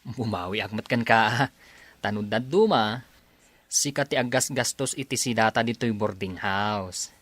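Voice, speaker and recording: A man's voice: on the low side, unhurried, dry recording. A man playing a teasing young male friend in a radio drama, with an Ilocano accent and a lot of laughing. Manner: teasing, animated, laughs often.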